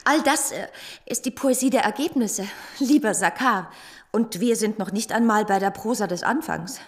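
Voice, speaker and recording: An actress playing a frank, cheerful, gently sceptical woman in her thirties in a German radio drama, with a wry manner. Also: medium pitch, moderate pace, natural voice. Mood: wry, light.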